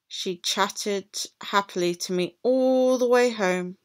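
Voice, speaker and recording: A woman reading a picture book aloud to children as a teacher, in an animated, deliberate way. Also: medium pitch. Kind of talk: expressive storybook read-aloud for children.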